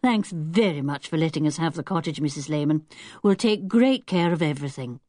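Confident, courteous teenage boy leader for a children's audiobook, voiced by a woman: British, well-spoken, very animated delivery. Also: sits low, a little fast, no echo, studio quality. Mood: polite, assured. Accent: British English. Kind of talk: children's audiobook character acting.

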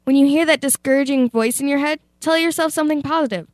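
A woman's voice: high voice, fast, no echo, clean studio sound. A teenage girl presenting a youth radio show, moving between casual chat and scripted reading.

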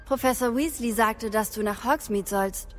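A woman's voice: a little high, moderate pace, faint music behind, close to an everyday voice. An actress playing a friendly, eager teenage schoolgirl witch showing a newcomer around, upbeat and welcoming, in a German audio drama.

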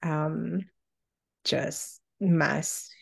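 A woman's voice: sits low, fairly even intonation, drawn-out words, creaky, slightly rough. A woman co-hosting a podcast, chatting casually and reacting her way through a countdown list. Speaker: podcast co-host.

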